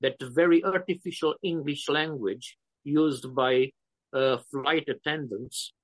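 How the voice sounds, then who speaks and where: Slow halting Romanian-accented man. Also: on the high side, dry recording. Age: 50s. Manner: slow, halting, searching for words. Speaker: poet guest. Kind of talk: interview answers.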